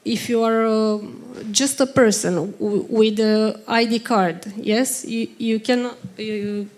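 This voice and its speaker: A woman with a Romanian accent giving a halting workshop presentation in English while unwell, pausing often to search for words. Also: on the high side, steady tone, a little mellow. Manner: hesitant, many ums and uhs, searches for words.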